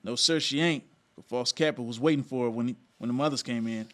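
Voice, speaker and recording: Male actor as folksy frontier sidekick for radio western, mid-pitched and excitable. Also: quick pace, close to an everyday voice. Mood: excited. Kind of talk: western radio drama acting.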